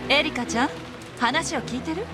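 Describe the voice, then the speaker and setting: A woman's voice: sits high, racing, over music, lightly acted. An actress playing a stern, composed, chiding woman in a Japanese visual novel.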